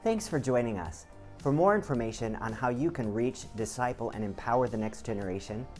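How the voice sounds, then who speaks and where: A man presenting a scripted educational video in the first person, with an expressive, explanatory delivery. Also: medium pitch, a little fast. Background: background music.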